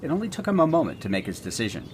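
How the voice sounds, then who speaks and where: A man playing a formal bunker tour guide and storyteller, narrating a scripted podcast drama in a measured, theatrical manner. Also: fairly high pitch, very animated, heavy background noise. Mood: grave storytelling. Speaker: host and narrator.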